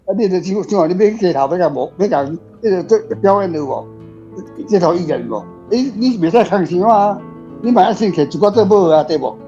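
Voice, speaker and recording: A man's voice: high-pitched, expressive, a little fast, mellow. A man with a Taiwanese accent in casual conversation, talking informally. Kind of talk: casual chat. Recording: muffled lo-fi sound with music behind.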